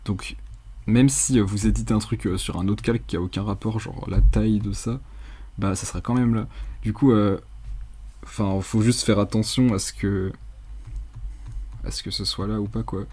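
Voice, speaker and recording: A young man with a soft, dark and somewhat husky voice walks through software in a screencast. His pitch sits fairly low. He talks casually and unscripted, hesitating and rambling in a colloquial, self-deprecating way. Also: steady tone, normal speed, long pauses. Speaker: tutorial presenter.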